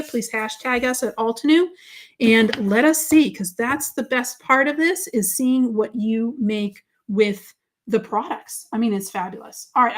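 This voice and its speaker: Upbeat Boston woman hosting a live papercraft stream, gushing and chatty, voice a touch high. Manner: bubbly, gushing, talks to viewers by name. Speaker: craft show host. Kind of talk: live crafting demo, chatty.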